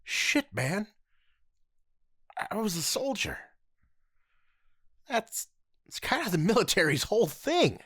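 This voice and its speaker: An earnest, protective former soldier, voiced by a woman in an audio drama. He lectures with force, and his pitch rises and falls a lot. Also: big pitch swings, slow pace, long pauses, slightly rough, full character voice. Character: earnest adult male ex-soldier ranger, protective. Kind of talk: amateur audio drama acting.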